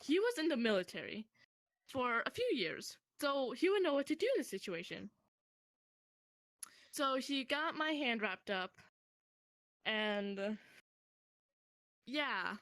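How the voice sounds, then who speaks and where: A young woman casually telling a personal story to a friend in a rambling, animated way, swearing freely. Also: a little high, measured pace, long pauses, no room sound.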